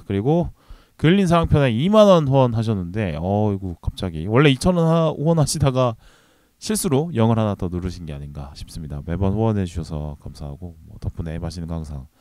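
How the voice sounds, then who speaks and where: A man with a fairly low voice hosts his own movie podcast, talking in a loose, rambling way full of fillers and side remarks. The sound is dry and close, with no room around him. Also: no echo. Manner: relaxed, rambling, many fillers and trailing remarks. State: recovering from a cold. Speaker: podcast host. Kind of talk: casual solo movie podcast talk.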